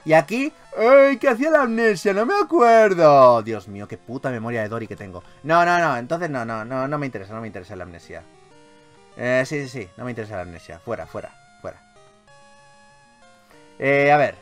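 A man with an accent from Spain giving casual, excitable gaming commentary, repeating his phrases and swearing freely. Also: medium pitch, brisk. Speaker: gaming YouTuber, host. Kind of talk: casual, excitable Pokémon let's play commentary. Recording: game music behind voice. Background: video game music.

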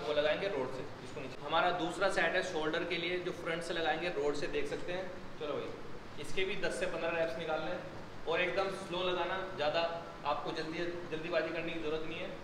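A man's voice: on the high side, steady tone, a little fast, background noise. A young man coaching a gym workout on camera, giving instructions and encouraging praise to a trainee. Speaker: gym trainer and fitness channel host. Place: gym, indoors. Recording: echoey gym room, loud background ambience.

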